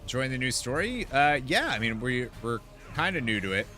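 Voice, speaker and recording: A man streaming a video game, giving informal, off-the-cuff commentary in a casual, animated way. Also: mid-range voice, fairly quick, soft background music. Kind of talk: casual livestream gameplay commentary.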